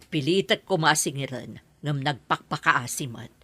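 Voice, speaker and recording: A woman playing a sympathetic, wistful middle-aged landlady with a husky voice in an Ilocano drama. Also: low voice, expressive, normal speed, a bit bright, no room sound, exaggerated voice. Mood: concerned. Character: motherly landlady, sympathetic, wistful, longing for a child. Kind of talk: Ilocano radio-style comedy drama acting.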